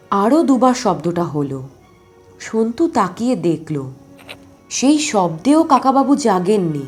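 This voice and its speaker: A woman storyteller giving an expressive scripted narration of an adventure tale. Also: on the high side.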